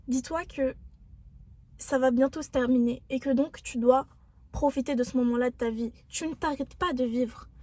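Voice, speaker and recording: A young woman with a high, soft and mellow voice talks straight to her viewers. She gives self-help advice in an earnest, reflective way. Her tone stays encouraging throughout. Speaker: vlogger. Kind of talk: motivational self-help talk.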